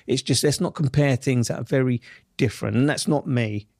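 A middle-aged man with a South East London accent, giving reflective interview answers in a measured, emphatic way with slow, deliberate stresses. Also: mid-range voice, creaky, a little mellow, dry recording, studio quality. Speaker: interview guest.

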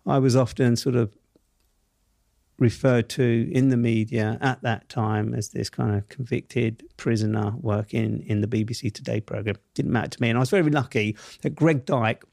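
A middle-aged man speaking in a South East London accent, unhurried and emphatic. He leans on key words with deliberate stresses. The recording is close and dry, with no room around him. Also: fairly low pitch, gravelly, a little mellow, no room sound. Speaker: interview guest. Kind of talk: reflective interview answers.

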